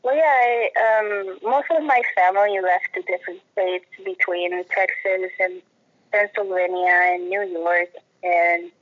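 A woman's voice: mid-range voice, unhurried, dull audio. A woman from Puerto Rico with a Puerto Rican accent, telling her personal story conversationally as an interview guest.

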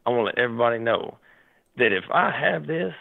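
A raspy, hoarse man talks over a tinny phone line. His voice is gravelly and his talk rambles, full of fillers and restarts. He sounds worked up and animated as a caller to a talk show. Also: mid-range voice, fast. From Tennessee. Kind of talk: casual call-in chat.

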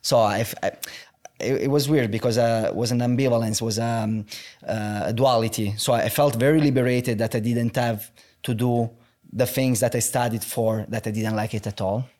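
A man's voice: medium pitch, steady tone, darkish tone. A young man with an Italian accent, speaking as a guest in a candid, reflective conversation, often restarting his sentences. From Italy. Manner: halting, many restarts and "like" fillers, open and reflective.

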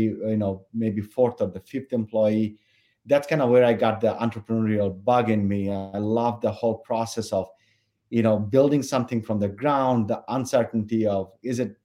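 A man in his forties from Bangladesh, a company founder answering interview questions in a relaxed, halting way with many fillers. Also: medium pitch. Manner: hesitant, many fillers and restarts. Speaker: company founder and CEO, podcast guest.

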